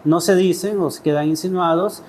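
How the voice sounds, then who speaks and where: A man's voice: high voice, fairly even intonation, normal speed, darkish tone, slight background noise. A man with a Salvadoran accent giving thoughtful, hesitant interview answers as a writer, often ending phrases with questioning tags. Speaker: interview guest, poet and writer.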